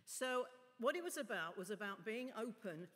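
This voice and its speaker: A middle-aged woman preaching a chatty, informal sermon from a lectern, her voice husky from a cough. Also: a little high, lively intonation, moderate pace, a bit bright. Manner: chatty, informal asides to the congregation. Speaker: lay preacher. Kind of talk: sermon with prayer. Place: large stone church, indoors. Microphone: lectern mic. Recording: long church reverb.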